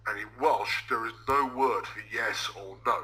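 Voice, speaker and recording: A man in a casual discussion who speaks like an academic, in a halting, jokey way and stumbling over his words. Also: fairly low pitch, pushed and bright, a little hiss or hum, poor audio.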